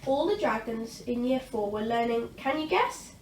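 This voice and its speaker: A woman storyteller giving an expressive reading of a children's picture book. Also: fairly high pitch, normal speed. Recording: roomy, distant mic, faint noise.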